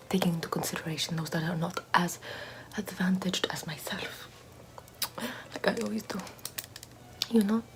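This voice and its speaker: A woman's voice: lowish voice, natural voice, rough recording. A woman whispering as a vain, pompous aristocratic lady doing makeup in an ASMR roleplay, in a haughty, self-admiring, gossipy way.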